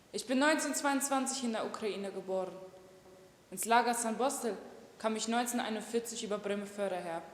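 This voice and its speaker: A woman's voice: mid-range voice, lightly acted. A young woman voicing a young Ukrainian male prisoner of war in a grave testimony reading with stressed peaks. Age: young adult. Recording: echoey hall recording.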